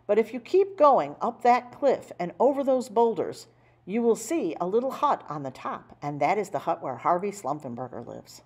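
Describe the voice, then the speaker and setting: Woman voicing earnest climber, animated pitch. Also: moderate pace, husky. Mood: apologetic, helpful. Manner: earnest, emphatic. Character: helpful, hardy mountain climber. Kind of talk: storybook character voice.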